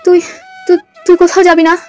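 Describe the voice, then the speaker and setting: Woman acting a very high-pitched, emotional young mother. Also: completely flat delivery, fairly quick, soft background music. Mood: desperate, anguished. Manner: frantic, tearful, repeating herself. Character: anguished, fearful young widowed village mother, fiercely possessive. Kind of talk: dramatized horror story acting.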